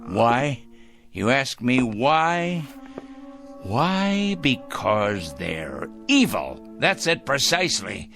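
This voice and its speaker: A gleeful, deranged crank, wildly animated. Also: male voice, mid-range voice, extremely slow, gravelly, faint music behind, full character voice. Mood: scornful, self-righteous. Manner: ranting, self-righteous, muttering to himself and cooing to pet bird. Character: gleeful deranged crank bent on judging evil people. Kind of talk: theatrical audio drama acting.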